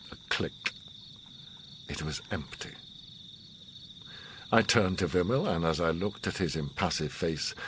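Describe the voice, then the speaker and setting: An actor playing a grave, commanding, enigmatic older war survivor in a radio drama, speaking in a measured, solemn, philosophical way. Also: male voice, deep voice, a little hiss or hum. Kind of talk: radio drama monologue and dialogue.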